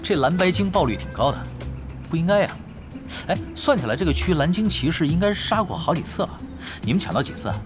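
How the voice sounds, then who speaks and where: An actor playing a laid-back, shameless veteran online gamer who needles others casually in a Chinese radio drama. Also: male voice, on the high side, sing-song, quick pace, over music. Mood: nonchalant, needling.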